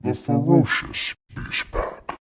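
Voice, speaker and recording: A man voicing a menacing, vengeful alien mastermind with a gravelly growl, delivering theatrical, taunting villain monologues. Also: slow, close to an everyday voice. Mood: gloating. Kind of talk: fan character voice clips, dramatic monologue.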